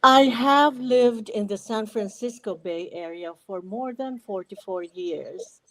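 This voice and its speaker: A woman in her sixties with a Filipino accent, speaking reflectively and deliberately as an interview guest. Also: mid-range voice, fairly bright tone, slight background noise, poor audio. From Philippines. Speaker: podcast guest, retired educator.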